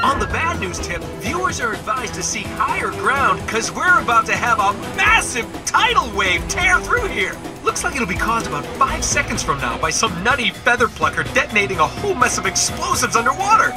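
Manic high-pitched mercenary showman. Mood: smug. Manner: hammy, taunting, theatrical. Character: manic wisecracking male mercenary villain playing TV showman. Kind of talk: mock broadcast patter, parodying hosts and announcers.

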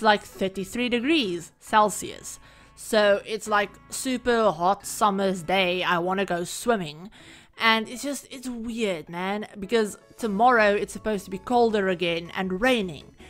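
A woman recording a casual, rambling voiceover for a home-building game video, her voice a little croaky from being sick. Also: mid-range voice, fairly bright tone. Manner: rambling, chatty, many fillers. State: croaky from illness. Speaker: Sims build creator. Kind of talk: casual voiceover over gameplay.

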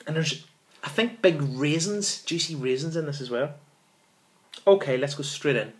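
A man's voice: on the high side, animated, moderate pace. A man in his thirties hosting a casual beer review vlog, enthusiastic and repetitive, often calling viewers folks, filmed in a small room on a camera in front of him. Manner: enthusiastic, repeats words, calls viewers folks. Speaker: beer reviewer, channel host.

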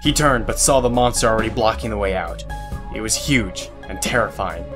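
A man narrating a scripted drama in a measured, suspenseful storytelling manner. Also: lowish voice, expressive, fairly bright tone, faint music behind.

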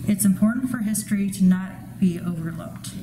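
A woman's voice: medium pitch, moderate pace. A young adult woman, a photography student, carefully reading her artist statement with a few small restarts. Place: indoor art gallery. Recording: echoey room with steady background noise.

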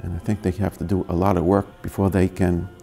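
A man with a very deep, gravelly, dark voice speaks earnestly in an interview. Long reverb trails his words and faint music runs under them. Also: flat delivery, a little fast. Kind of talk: documentary interview.

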